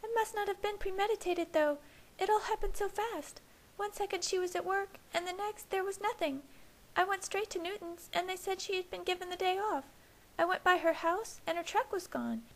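A woman voicing an anxious young woman with a high, breathy voice that shakes as she talks. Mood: shaken, worried. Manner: shaky, hurried.

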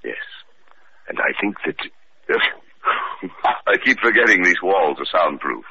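An actor playing a hesitant, suspicious wealthy husband and art lover, stammering through an old radio detective drama. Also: male voice, low voice, animated, gravelly, pushed and bright, slightly rough, full character voice. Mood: flustered. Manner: halting, stammering with uh and well. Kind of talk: 1940s-50s radio detective drama acting. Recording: dull old radio recording.

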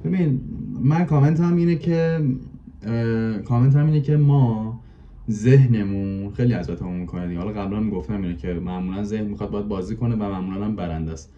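A man in his twenties chatting casually as a podcast guest, explaining in colloquial speech and repeating words for emphasis. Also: lowish voice. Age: 20s. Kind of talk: casual chat. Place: living room, indoors. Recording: dull, muffled video call audio.

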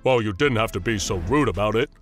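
A man's voice: mid-range voice, normal speed, fairly bright tone, faint music behind, pitched above the performer's own voice, natural voice. A man voicing a naive, eager young creature that wants to be scary, in a chirpy manner.

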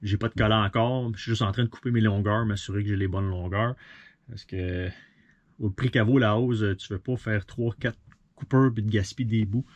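Québécois man in his thirties, DIY boat vlogger; relaxed, talky, lots of fillers, close action-camera sound in a small cabin. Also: lowish voice, a little fast, muffled recording. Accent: Québécois French. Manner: relaxed, thinks aloud with fillers, mixes in English boat terms. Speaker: sailboat owner showing a refit. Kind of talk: casual how-to explanation.

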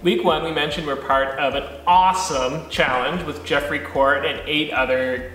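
A man's voice: on the high side, steady tone, normal speed, fairly bright tone, reverberant, in a large hall, soft background music, rough recording. A man in his thirties co-hosting a home DIY vlog, casually explaining building steps in plain terms. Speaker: co-host. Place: home studio, indoors, seated beside co-host.

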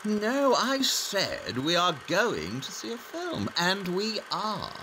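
A man's voice: sits high, huge pitch swings, slow, noisy background, heavily acted. An actor playing a brilliant, haughty detective in an audio play, precise, imperious and sardonic in his delivery.

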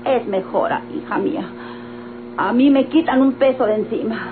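Radio drama role, female actor: earnest Mexican mother, high voice, dramatic delivery, thin old recording. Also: moderate pace, lightly acted. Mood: anxious, earnest. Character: earnest mother of a grown country daughter. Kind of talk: golden-age Mexican radionovela acting. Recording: tinny old radio recording, humming noise.

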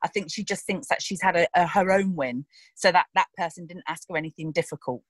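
A young actress talking casually in an interview about a character she played, animated and quick to act out quoted lines. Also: fairly low pitch, no room sound.

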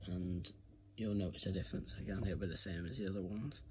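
A man's voice: sits low, steady tone, brisk, background noise. A British man giving a casual hands-on tutorial in an informal, rambling, repetitive way, recorded on a phone at home. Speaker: video presenter giving a how-to demonstration. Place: indoors at home, carpeted room. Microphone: phone camera filming his hands.

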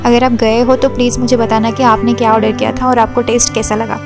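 A young woman food vlogger, chatting casually about a restaurant and its dishes, mixing English words into her talk with frequent "uh" pauses. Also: a little high, level tone. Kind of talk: casual restaurant review voice-over. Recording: music playing under the voice.

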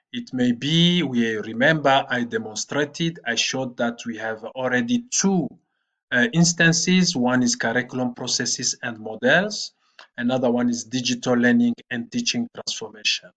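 Slow, halting man giving a screen tutorial. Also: medium pitch, fairly bright tone. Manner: slow and halting, with frequent "uh" fillers. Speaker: online platform trainer. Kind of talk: screen-recorded tutorial walkthrough.

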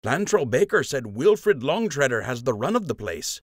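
A man's voice: medium pitch, sing-song, normal speed, dry recording, studio quality, pitched above the performer's own voice. Man voicing a worried, urgent rabbit comrade. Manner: urgent, concerned. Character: grave, worried young male rabbit comrade.

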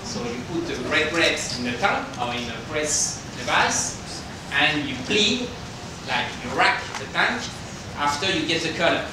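A middle-aged man from France with a French accent, presenting a tasting to a group as a winemaker in halting, simply phrased non-native English. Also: on the high side, big pitch swings. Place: indoor hotel function room. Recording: roomy, distant microphone with background noise.

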